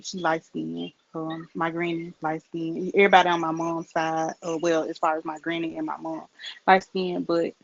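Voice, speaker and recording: A woman's voice: lowish voice, dull audio. Easygoing woman in her thirties. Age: 30s. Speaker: podcast guest. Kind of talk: casual group chat on a call.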